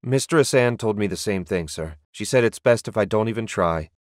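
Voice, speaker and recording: Man as an earnest young sorcerer, lowish voice. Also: lively intonation, normal speed, dry recording, studio quality, close to an everyday voice. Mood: respectful, grateful. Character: earnest, modest young male sorcerer hero.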